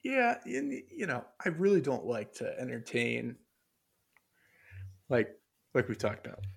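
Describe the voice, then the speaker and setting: A man's voice: mid-range voice, huge pitch swings, unhurried, gravelly, husky. A man co-hosting a casual podcast, rambling and hesitant, full of fillers and restarts as he reads aloud from his notes. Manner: muses aloud while reading from notes. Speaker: podcast co-host.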